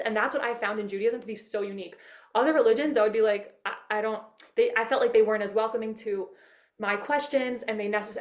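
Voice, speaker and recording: Woman guest, higher voice, rambling and eager, recorded in an echoey room. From Manhattan, New York. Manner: eager, rambling, many fillers and restarts. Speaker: interview guest. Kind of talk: casual personal storytelling. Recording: roomy echo.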